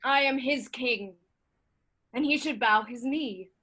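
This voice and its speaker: A woman acts a proud young claimant to the crown in a Shakespearean play. The voice is fairly high, with a bright, forceful edge. The verse is declaimed slowly, haughty and defiant. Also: full character voice. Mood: haughty challenge. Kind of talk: Shakespearean staged reading. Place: remote reading over a video call.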